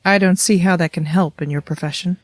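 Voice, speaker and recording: A woman's voice: fairly low pitch, steady tone, fairly quick, no echo, natural voice. A woman playing a guarded, dry-witted companion to a time traveller in an audio drama, reserved and understated. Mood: guarded, curt.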